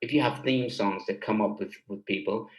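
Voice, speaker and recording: A man's voice: fairly low pitch, moderate pace. Man in echoey room chatting enthusiastically. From Germany. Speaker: guest, film director and composer. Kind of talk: casual interview conversation. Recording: roomy, echoey sound.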